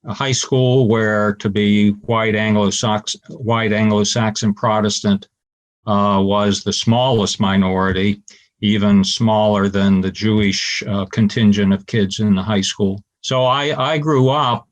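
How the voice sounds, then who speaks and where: Flat, low-voiced older man. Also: unhurried. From Pittsburgh. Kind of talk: personal reflection.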